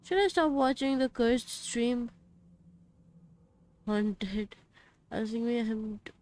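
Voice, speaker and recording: A woman's voice: a little high, slow pace, darkish tone. Chatty woman streamer. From India. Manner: chatty, mixes Hindi and English. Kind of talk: casual live game stream chat.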